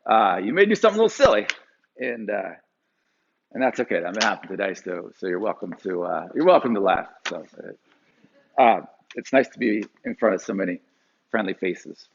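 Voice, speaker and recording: A man in his forties from Pennsylvania, telling a personal story to a live audience with animated delivery and frequent fillers. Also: on the low side, a little fast, gravelly, dull audio, a little hiss or hum. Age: 40s.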